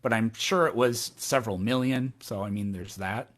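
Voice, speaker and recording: A man's voice: lowish voice, normal speed, fairly bright tone. A man in his thirties hosts a call-in podcast. He sounds exasperated and sarcastic, and he rattles off examples to rebut his caller. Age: 30s. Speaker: podcast host.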